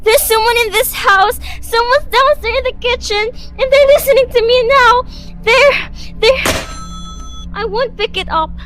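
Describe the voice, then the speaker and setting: A woman's voice: very high-pitched, measured pace, fairly bright tone, faint music behind. A woman acting a nervous, demanding invalid wife in a suspense radio drama, agitated and stammering. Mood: fearful.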